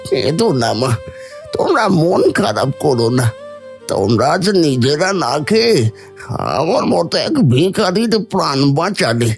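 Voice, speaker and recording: Humble cartoon old beggar: slow and lilting, pitch rising and falling widely, soft music underneath. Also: male voice, full character voice. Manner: slow, pleading, creaky old voice. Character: frail starving old male beggar, humble and grateful. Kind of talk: cartoon voice acting.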